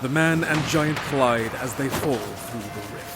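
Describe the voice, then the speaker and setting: A man's voice: mid-range voice, a little slow. Man narrating dramatic fantasy over music.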